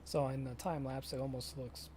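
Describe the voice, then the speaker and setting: A young man chatting casually with viewers during a live stream of a farming game, often pausing and restarting his sentences. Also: mid-range voice, fairly even intonation, a little mellow, a little hiss or hum. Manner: halting, self-correcting, chatty with viewers.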